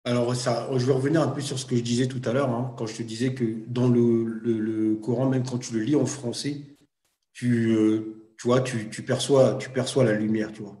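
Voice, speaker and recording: A man of medium pitch talking in a thoughtful, halting way, circling back over his ideas. His voice rings in a noticeably echoey room. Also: flat delivery, mellow. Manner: thoughtful, hesitant, circles back and repeats himself. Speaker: guest, Arabic teaching project founder. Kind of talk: casual chat.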